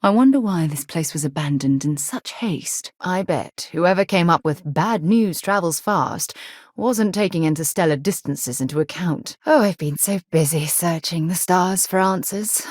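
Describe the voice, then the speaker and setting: Game companion role: a principled, caring woman explorer, lower register, brisk and articulate, very dry close recording. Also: darkish tone, breathy edge, no room sound. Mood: tender. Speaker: video game companion character. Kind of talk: scripted video game voice acting.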